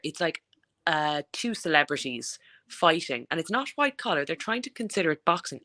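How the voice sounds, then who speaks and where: Emphatic woman with a lowish voice. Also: a bit bright. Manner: emphatic, argues at length in long run-on sentences. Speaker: sports panelist. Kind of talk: opinionated sports discussion.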